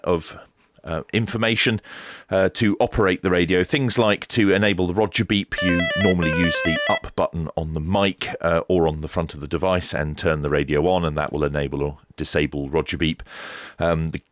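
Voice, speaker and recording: A man's voice: low-pitched, a bit bright, no room sound, studio quality. A man giving a casual, unscripted unboxing review, explaining things as he goes in a hesitant way. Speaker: radio gadget reviewer.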